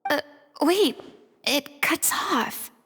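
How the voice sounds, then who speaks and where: An actress playing a compassionate young female investigator in a video game, with a breathy, husky voice and a thoughtful, measured delivery. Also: sits high, lively intonation, fairly bright tone, in a large hall, full character voice. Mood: pensive. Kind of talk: dramatic video game voice acting.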